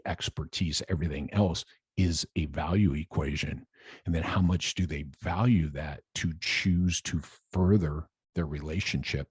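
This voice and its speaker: A man's voice: low voice, a little mellow, husky, no room sound. A man in his fifties giving an informal coaching monologue to camera, emphatic and checking in with rhetorical questions, close to the microphone. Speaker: business coach, presenter. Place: room with brick wall and window, indoors. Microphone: large microphone close to the mouth.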